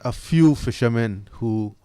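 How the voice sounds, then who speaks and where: A man speaks as a studio guest in a mid-pitched voice. He explains his views earnestly, in measured, halting phrases, with a very dry, close sound. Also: mellow, clean tone, no room sound. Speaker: discussion guest. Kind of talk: unscripted opinion and explanation.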